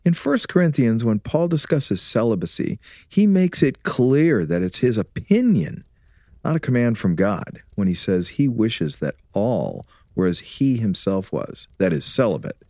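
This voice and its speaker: A man playing a warm, teasing, scholarly husband and father in a radio drama, with a measured, preacherly way of explaining. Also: fairly low pitch, sing-song, no echo, clean studio sound, heavily acted. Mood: earnest, instructive. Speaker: actor in an audio drama.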